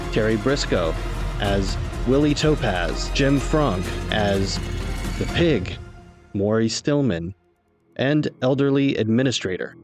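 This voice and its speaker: Male announcer over clear music. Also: medium pitch. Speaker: show's writer, producer and announcer. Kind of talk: scripted credits and promo announcing.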